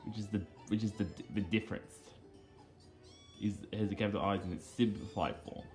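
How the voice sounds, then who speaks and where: A man giving rambling, casual commentary on video game cutscenes, speaking haltingly with repeated phrases and earnestly explaining small details. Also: on the low side, a little mellow. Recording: game music behind the voice.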